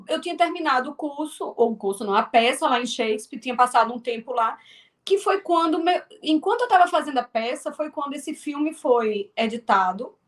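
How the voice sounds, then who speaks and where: A woman from Northeast Brazil with a strong Northeastern accent, a filmmaker and actress chatting as an interview guest, with many restarts. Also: high-pitched. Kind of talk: casual interview talk.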